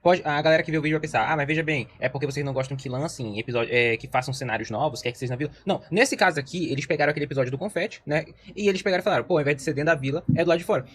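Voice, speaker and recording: A man's voice: fairly high pitch, rapid-fire, hardly any pauses, a little hiss or hum. A young man with a Brazilian accent giving casual, opinionated reaction commentary as a host, in a slangy, emphatic, argumentative way. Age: young adult.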